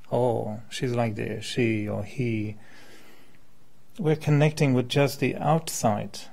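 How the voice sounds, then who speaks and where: Male speaker, fifties, mid-pitched with heavy vocal fry, informal spiritual lecture, conversational and questioning. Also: darkish tone. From born in France, settled in Quebec. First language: French. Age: 50s. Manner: conversational, illustrates with hypothetical examples and questions. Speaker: meditation teacher, speaker. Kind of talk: informal spiritual talk to a live group.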